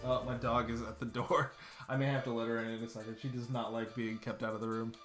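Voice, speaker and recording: A man's voice: mid-range voice, level tone, fast, music in the background. A man in his thirties streaming a game from home, chatting loosely and swearing casually as he plays. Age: 30s. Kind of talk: casual let's-play commentary. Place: home room.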